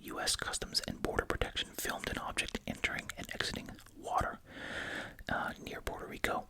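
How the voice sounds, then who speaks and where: An ASMR host speaking in a breathy, unvoiced whisper, reading aloud and musing casually. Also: brisk.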